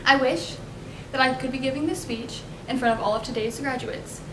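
A young woman with an American accent reads a graduation speech in a fairly high voice. The room echoes around her, with clear rumbling noise behind. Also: moderate pace. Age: young adult. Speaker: student graduation speaker. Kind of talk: scripted commencement speech. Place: indoor multipurpose room at podium.

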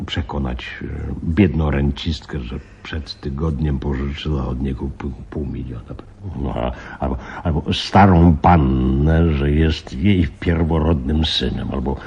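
An actor playing a flustered, grave veteran police commissioner in a radio play, husky and halting, repeating himself. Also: male voice, a bass voice, darkish tone, heavily acted. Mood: flustered, alarmed. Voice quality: husky, breathy. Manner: halting, muttering, repeats himself, dramatic emphasis. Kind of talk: Polish radio play acting. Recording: slightly dull radio play recording.

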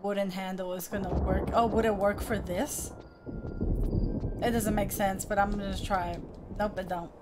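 A woman's voice: medium pitch, steady tone, moderate pace, heavy background noise. A young woman streaming a game, chatting to the character on screen and to her viewers from a small room. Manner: chatty, talks to the game character and reads chat aloud. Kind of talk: live game commentary. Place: small room with colorful lights behind.

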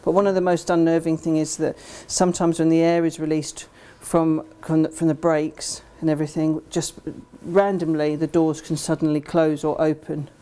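A middle-aged woman giving an informal guided tour, explaining as she walks, with slightly halting phrasing. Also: deep voice, level tone, mellow. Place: indoors in disused underground station, tunnels and train carriage.

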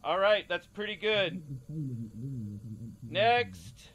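A man's voice: high voice, extremely slow, fairly bright tone, exaggerated voice. A man playing a casual casting director who stumbles and repeats himself in improvised comedic game acting. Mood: offhand, businesslike. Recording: noisy cassette-tape recording.

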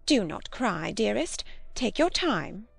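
A woman doing a fussy older chaperone whose voice rises and falls in lively swings, fretting and fussing. Dry storybook reading with faint music. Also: medium pitch, slow pace, vocal fry, no room sound, full character voice. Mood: soothing. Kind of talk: audiobook character voice.

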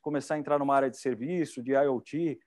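A man's voice: medium pitch, fast, a little mellow. A middle-aged man with a Brazilian Portuguese accent, hosting an event in a chatty, enthusiastic way on a lapel mic. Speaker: event host. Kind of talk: casual hosting chat.

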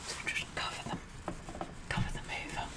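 A woman playing a sassy, vain, bossy queen in an ASMR roleplay, half-whispering in a pompous, deliberate manner. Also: close to an everyday voice. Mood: bossy, instructive. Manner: pompous, deliberate, repeats words softly. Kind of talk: ASMR roleplay makeup tutorial, whispered and soft-spoken.

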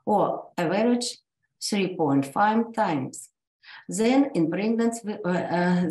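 A woman from Russia, a native Russian speaker with a Russian accent, reading a medical conference talk in a halting way with frequent restarts. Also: mid-range voice, mellow, reverberant, poor audio. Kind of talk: reading an academic presentation.

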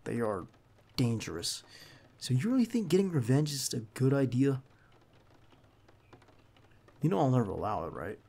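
A man playing a protective, earnest bodyguard in an ASMR roleplay, speaking haltingly and insistently straight to the listener. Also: medium pitch, very animated, dark tone, heavily acted. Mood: stern, concerned. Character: protective, earnest young male bodyguard to a crime boss. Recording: clear background noise under the voice.

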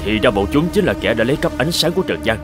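A man's voice: mid-range voice, animated, fast, over music, close to an everyday voice. A man voicing a brave, earnest young god, a resolute and respectful cartoon hero. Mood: puzzled.

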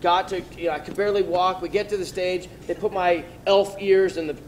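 A man in his forties, a convention guest, telling a story to a live audience on a big theatre stage, with halting restarts. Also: sits high, a little hiss or hum, poor audio. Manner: animated anecdote with halting restarts.

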